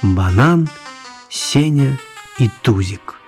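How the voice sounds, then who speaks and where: A man narrating a radio play for children in a wry, storytelling manner, with a husky voice. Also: medium pitch, expressive, extremely slow, soft background music.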